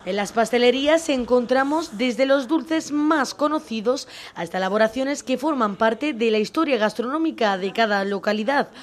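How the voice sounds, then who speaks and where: A woman reporter reading a scripted news package in a smooth, measured way. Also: a little high, expressive.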